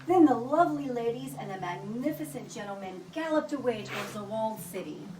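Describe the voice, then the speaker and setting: A woman reading a children's picture book aloud with expressive, deliberate storytelling delivery. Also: fairly high pitch, slight background noise. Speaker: storybook reader. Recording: roomy echo, distant mic, music behind.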